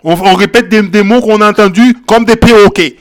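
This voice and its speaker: High-voiced man preaching emphatically. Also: fairly quick. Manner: emphatic, repeats phrases, checks in with "ok?" and "hein?"; shifts from quiet verse reading to loud exhortation. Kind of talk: Bible teaching sermon.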